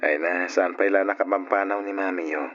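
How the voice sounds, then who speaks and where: A man playing a calm, reassuring husband and father in an old radio drama. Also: low voice, brisk. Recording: muffled old radio recording.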